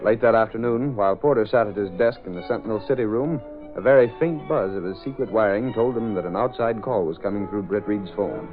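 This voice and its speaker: An actor narrating an old-time radio serial in a formal, dramatic announcer style. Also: male voice, fairly low pitch, a little fast, close to an everyday voice. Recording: narrow-band vintage radio recording. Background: music.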